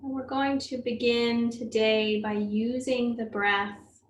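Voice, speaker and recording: A woman leading a guided meditation as a teacher, speaking gently and soothingly, unhurried, with long pauses. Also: fairly high pitch, fairly even intonation. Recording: roomy echo, faint background noise.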